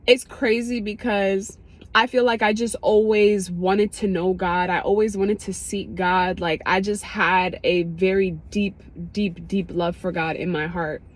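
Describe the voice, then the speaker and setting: A young woman vlogger from Ethiopia talks straight to her viewers in a loose, chatty stream of thought. She gets emotional and excited as she goes, with lots of "like" and quick restarts. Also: mid-range voice. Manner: emotional, excitable, many fillers. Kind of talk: casual testimony to camera.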